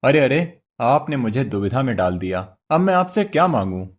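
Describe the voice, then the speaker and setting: Earnest poor woodcutter, mid-pitched man acting. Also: lively intonation, normal speed, natural voice. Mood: puzzled, eager. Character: poor, honest, simple-minded young woodcutter husband. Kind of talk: Hindi cartoon story voice acting.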